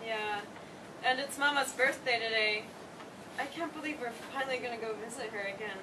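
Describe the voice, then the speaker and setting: A woman's voice: fairly high pitch, fairly quick, close to an everyday voice. A woman playing an emotional, guilt-ridden eldest daughter in a staged play reading. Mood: grieving, guilty. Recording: distant mic, noisy room.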